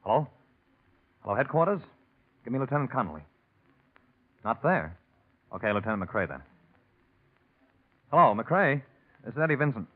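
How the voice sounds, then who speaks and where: A man's voice: medium pitch, very animated, moderate pace, long pauses. An actor in a vintage radio drama playing a nervous, scheming male aide who stalls and feigns casualness. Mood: businesslike. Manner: hesitant, stalling, falsely casual. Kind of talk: 1940s radio acting. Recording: tinny, noisy vintage radio recording.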